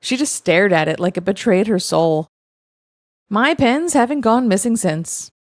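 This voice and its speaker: A woman reading first-person confession stories aloud in an expressive, conversational storytelling manner. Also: medium pitch, unhurried. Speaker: story reader, host of a show reading online confessions.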